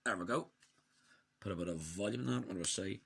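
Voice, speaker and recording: A man giving a casual, chatty, opinionated hands-on radio hobby review, muttering to himself at times, in a husky voice. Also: low voice, fast, over loud music. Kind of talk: casual hands-on product demonstration.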